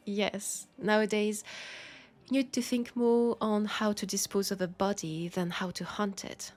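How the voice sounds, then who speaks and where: A woman playing a lonely, centuries-old female vampire in an intimate audio drama, with a French accent and a soft, confiding, unhurried delivery. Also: mid-range voice, steady tone, soft background music, lightly acted. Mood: dark amusement. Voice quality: soft, breathy, often dropping to a whisper. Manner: unhurried, confiding, pausing between phrases.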